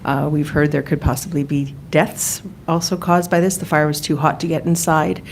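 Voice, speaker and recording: Low-voiced woman reporter. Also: level tone, moderate pace, slight background noise. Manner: loud-voiced, direct. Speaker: TV news reporter asking questions. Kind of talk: press conference questioning. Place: indoor press briefing.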